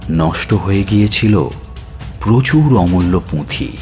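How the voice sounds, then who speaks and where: Male storyteller, dramatic scripted narration, very slow pace, lively intonation. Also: sits low. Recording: music under the voice. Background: background music.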